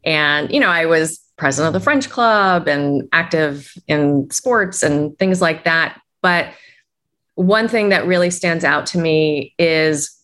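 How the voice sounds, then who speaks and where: A woman's voice: deep voice, slow pace, a bit bright. A woman executive coach, a guest answering interview questions in a reflective way. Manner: reflective, uses "you know" often.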